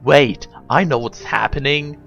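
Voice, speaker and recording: A man's voice: mid-range voice, measured pace, creaky, fairly bright tone, dull audio, soft background music. A man voicing a defiant, foul-mouthed pony in an indignant, blunt, emphatic manner for a story reading. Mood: baffled. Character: defiant, foul-mouthed male pony, irritated by pompous arbiters.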